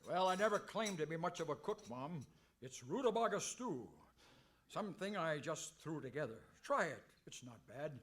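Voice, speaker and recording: A man's voice: a little high, expressive, moderate pace, fairly bright tone. A man playing an earnest, hapless cowboy hero in a radio comedy, a put-upon and defensive grown son. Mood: sheepish, defensive. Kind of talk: old-time radio comedy acting.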